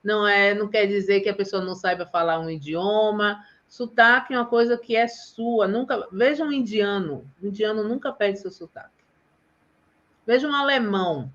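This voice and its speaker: A woman's voice: medium pitch, normal speed, fairly bright tone. A woman from Bahia in Brazil with a Bahian accent, talking in a chatty and emphatic way as host of a live stream. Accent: Bahian Portuguese. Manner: chatty, emphatic, says "gente" often. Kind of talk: casual live chat. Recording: dull lo-fi stream audio.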